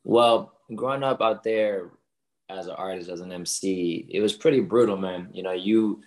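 A man's voice: low-pitched, normal speed. A man from the Bronx, a rapper and dancer, chatting as a podcast guest in an easygoing way. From the Bronx, New York. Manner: easygoing, says "you know" and "man" a lot. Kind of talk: casual conversation.

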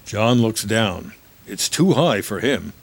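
A man with a husky voice reading scripted, present-tense screenplay narration as a storyteller. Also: lowish voice, animated, slow.

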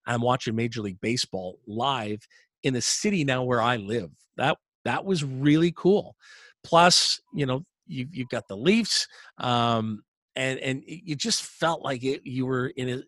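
A man's voice: mid-range voice, a bit bright, dry recording, clean studio sound. A middle-aged man, an arena announcer telling his career story as an interview guest in an upbeat, chatty way, often repeating words as he restarts. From Canada.

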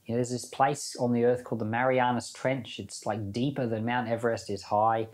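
A man's voice: medium pitch, flat delivery, brisk. A man giving an informal sermon as a Bible teacher, reading scripture and commenting in a conversational way with rhetorical questions.

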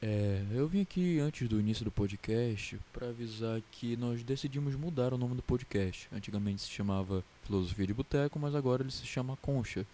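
A man's voice: mid-range voice, a little fast, few pauses, dark tone, slight background noise. A twenty-year-old Brazilian man hosting a podcast as a casual chat with friends, slangy and foul-mouthed. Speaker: podcast host.

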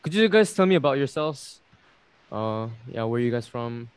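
A man's voice: medium pitch, animated, moderate pace, smooth, no echo. A young man hosting a casual podcast chat, talking loosely with lots of fillers and frequent laughs. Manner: chatty, laughs often, many "like" fillers. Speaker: podcast host.

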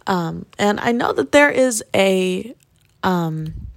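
A woman hosting a casual solo podcast while sick, with a raspy voice, rambling and joking at her own expense. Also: mid-range voice, animated, slow pace, no echo. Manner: rambling and self-deprecating with jokes. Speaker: podcast host; music producer.

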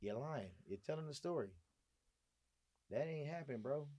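A man's voice: on the high side, normal speed, dull audio. Slangy Los man telling stories. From Gardena, California. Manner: animated, slangy, repeats phrases for emphasis. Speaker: podcast guest, restaurant owner. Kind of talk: casual chat, storytelling.

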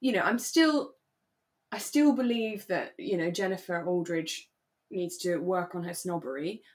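A woman's voice: fairly low pitch, a little slow. A woman co-hosting a podcast, chatting casually and reflectively about a radio drama. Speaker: podcast co-host.

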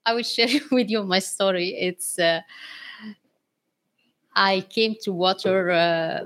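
A woman's voice: mid-range voice, slow pace, a bit bright. A woman from Morocco telling her story as an interview guest, speaking English as a later language with frequent laughs. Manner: laughs often, non-native English grammar.

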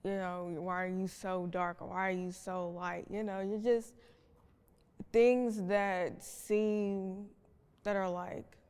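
Slow, halting teenage girl in a reverberant hall. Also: mid-range voice, little pitch movement, darkish tone, clean tone. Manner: informal, halting. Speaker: student panelist. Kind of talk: casual panel answer. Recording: echoey hall with long reverb.

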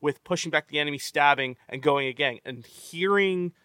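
A man with a bright, forceful voice hosts a casual movie-review podcast. He rambles, restarts his sentences with stammers and swears freely. The sound is close and dry. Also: on the high side, normal speed, clean tone, no room sound. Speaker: podcast host.

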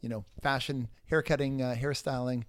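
A man hosting an interview, speaking haltingly with many repeated words and restarts. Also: medium pitch, fairly even intonation, no echo, studio quality. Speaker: interview host. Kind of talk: casual conversational interview.

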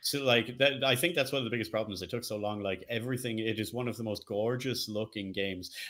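A man's voice: lowish voice, fast, a bit bright. A man co-hosting a casual podcast chat, speaking enthusiastically and dropping in teasing asides. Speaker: podcast co-host discussing video games and lore.

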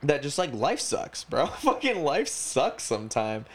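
A man's voice: a little high, very animated, unhurried, a little hiss or hum. A man in his twenties chatting casually as a podcast co-host, rambling and slangy, swearing freely. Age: 20s. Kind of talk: casual chat.

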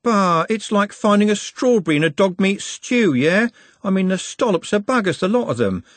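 A man's voice: high-pitched, lively intonation, moderate pace, dry recording, pitched above the performer's own voice. A man voicing a brash, cocky young working-class lad in an audiobook, slangy, blunt and mocking. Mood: aghast, indignant. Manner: slangy, blunt, matey banter.

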